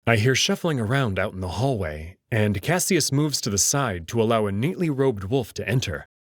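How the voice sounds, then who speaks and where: A man's voice: fairly low pitch, very animated, normal speed, no room sound, studio quality. A man narrating a visual novel as a scripted, dramatic read-aloud. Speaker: host and narrator.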